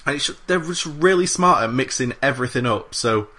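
Keen podcast host with a bright voice. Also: male voice, mid-range voice, lively intonation, fairly quick. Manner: enthusiastic, swears freely, many fillers. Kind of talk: casual chat.

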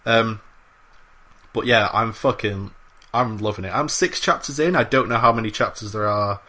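A man co-hosting a podcast in casual chat. His voice sits a little low but rings bright and forward. He talks with enthusiasm, with frequent fillers and casual swearing. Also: normal speed. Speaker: podcast co-host.

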